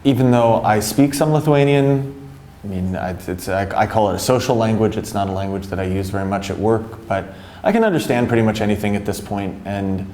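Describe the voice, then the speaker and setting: A man's voice: lowish voice, brisk, darkish tone. A man living abroad, answering interview questions hesitantly and restarting his sentences often. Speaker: expat interviewee. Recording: echoey room with faint noise.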